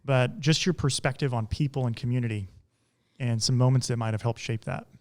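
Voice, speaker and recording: Guy hosting a podcast interview, relaxed, kinda stumbles thru his questions with uhs. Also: medium pitch. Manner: easygoing, halting questions with fillers. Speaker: podcast host. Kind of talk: casual interview conversation.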